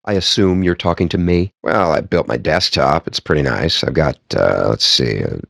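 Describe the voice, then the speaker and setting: A man's voice: extremely low pitch, normal speed, vocal fry, a bit bright, dry recording, clean studio sound, heavily acted. A man voicing a wry, pompous, foul-mouthed gamer geek in video game dialogue, with a sardonic, wordy and theatrical delivery.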